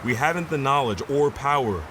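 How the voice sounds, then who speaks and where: A man's voice: mid-range voice, expressive, a little fast, lightly acted. An actor playing a cautious, sceptical male scientist in an audio drama, with a dry, precise manner. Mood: sceptical, exasperated. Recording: noisy outdoor urban ambience.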